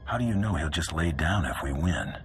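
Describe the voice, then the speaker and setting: An actor playing a tough, no-nonsense bounty hunter in a video game cutscene, speaking tersely. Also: male voice, a bass voice, animated, brisk, faint music behind. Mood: businesslike. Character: gruff male bounty hunter, tough and businesslike.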